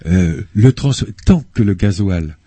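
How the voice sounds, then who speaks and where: Older man, dark husky voice, measured. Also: deep voice, expressive, no room sound. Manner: measured, hesitant with frequent "euh", explanatory. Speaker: spokesman of an environmental association, radio guest. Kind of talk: earnest radio interview answers. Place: radio studio. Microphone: studio microphone.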